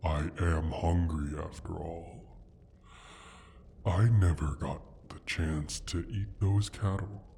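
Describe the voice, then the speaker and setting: A man playing an injured, menacing dragon who guards his gold hoard, speaking in a slow, deliberate, husky voice in an ASMR roleplay. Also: fairly even intonation, warm tone, a little hiss or hum. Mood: musing, threatening. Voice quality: husky, breathy, deep.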